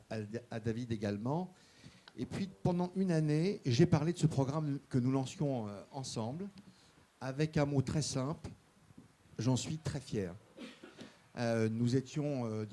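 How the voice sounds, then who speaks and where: A man giving an earnest public speech as guest speaker, halting often and repeating small words as he goes. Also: medium pitch, dark tone, slight background noise. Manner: earnest, stresses key points. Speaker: guest speaker promoting a mentoring program. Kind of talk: public speech at a formal session.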